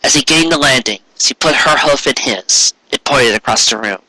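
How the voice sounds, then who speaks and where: A man's voice: mid-range voice, normal speed, pushed and bright, no echo, low-quality recording. A man reading a story aloud in a dramatic narrating style. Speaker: fan fiction reader.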